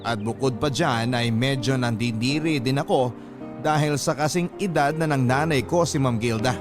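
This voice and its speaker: A man narrates a scripted letter for a radio love-story drama. His voice is medium in pitch, measured and earnest, with music clearly playing behind him. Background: background music.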